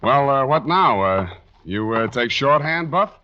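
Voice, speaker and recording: A hard-boiled American private eye from a 1940s radio drama, offhand and wisecracking. His voice is bright and forward, on a dull, noisy old broadcast recording. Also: medium pitch, lively intonation, unhurried. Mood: dry, curious. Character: wry hard-boiled male private detective.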